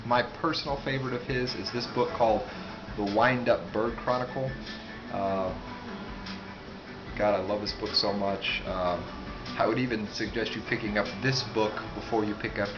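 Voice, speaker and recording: A man giving a casual, unscripted book review, rambling and halting, with asides that trail off. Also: fairly low pitch, steady tone. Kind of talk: casual, unscripted talk to camera. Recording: dull sound with music behind. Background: music.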